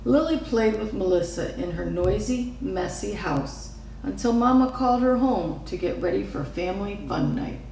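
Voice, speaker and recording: A woman reading a children's story aloud in an expressive narrating style. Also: mid-range voice, normal speed, creaky. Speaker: storybook reader. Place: reverberant room, away from the mic. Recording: echoey room, distant mic, faint noise.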